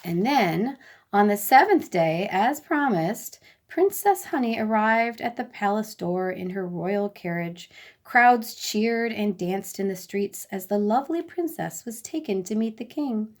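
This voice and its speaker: A woman storyteller reading a children's bedtime story in a deliberate, expressive way. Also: medium pitch.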